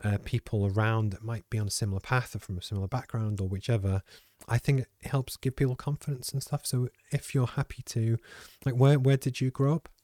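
A man's voice: medium pitch, fairly even intonation, fairly quick, hardly any pauses, warm tone, dry recording, studio quality. A man hosting a podcast interview in a casual, conversational way. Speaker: podcast host.

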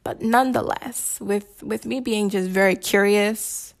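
A woman's voice: mid-range voice, steady tone, measured pace. Chatty young woman giving an emphatic testimony. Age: young adult. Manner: chatty, slangy, emphatic repetition. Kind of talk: casual personal storytelling with preaching.

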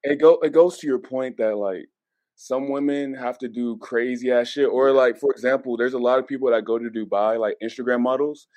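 A man's voice: medium pitch, steady tone, a little fast, darkish tone, dry recording. Slangy, insistent man chatting casually. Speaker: podcast panelist. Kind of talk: casual chat. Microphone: remote call mic.

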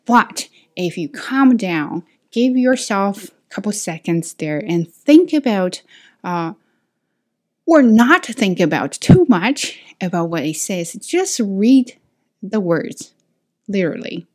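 A woman's voice: mid-range voice, big pitch swings, slow pace, a little mellow. A woman who is not a native speaker of English, hosting a casual solo podcast and thinking aloud with many fillers and restarts, recorded on a camera mic. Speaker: podcast host and teacher.

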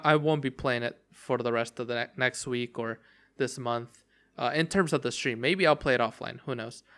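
Young male podcaster, bright, rambling casual delivery, voice worn and cracking. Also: medium pitch, smooth. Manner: rambling, many fillers and restarts. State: voice cracking from long talking. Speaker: podcast host. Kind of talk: casual solo game talk.

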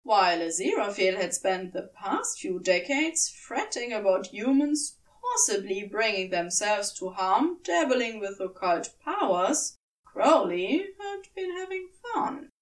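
A woman narrating scripted fiction in a measured, expressive storytelling manner. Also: medium pitch, recorded from a distance, low-quality recording. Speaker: audiobook narrator.

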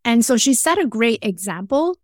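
A woman's voice: a little high, sing-song, no room sound, studio quality. A woman from Taiwan, a podcast guest telling her life story earnestly, restarting phrases as she goes. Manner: earnest, halting, with frequent restarts and small grammar slips. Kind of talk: personal storytelling in conversation.

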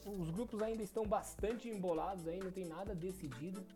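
Young Brazilian guy, sports podcast co-host, soft mellow timbre, casual recap delivery. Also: high-pitched, brisk, faint music behind. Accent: Brazilian Portuguese. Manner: rundown of results, stumbling over foreign names.

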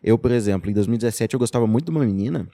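A man's voice: fairly low pitch, animated, racing, dark tone, no echo. Young Brazilian man, co-host, slangy and loose, dry close recording. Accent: Brazilian Portuguese. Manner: loose and talkative, with slang and frequent "tipo" and "sabe". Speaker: podcast co-host. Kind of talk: casual chat.

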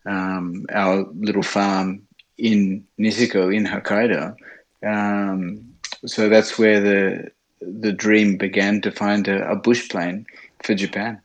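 Low-pitched Australian man, halting delivery. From Sydney, Australia. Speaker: interview guest, bush pilot. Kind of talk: conversational interview storytelling.

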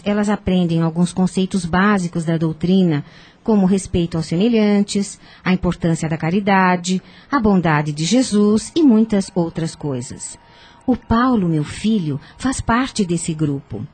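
A woman's voice: fairly low pitch, a little slow, darkish tone, husky. An actress with a Brazilian accent playing a kindly, devout older woman in a radio soap, earnest and instructive. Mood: helpful, explaining. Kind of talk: radio soap opera acting.

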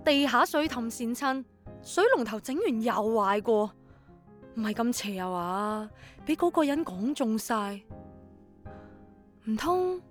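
High-voiced, wary young Hong Kong woman. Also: animated, slow pace, long pauses, soft background music. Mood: puzzled. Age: young adult. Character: young village woman, wary, easily flustered, skeptical. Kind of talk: Cantonese radio drama acting and first-person narration.

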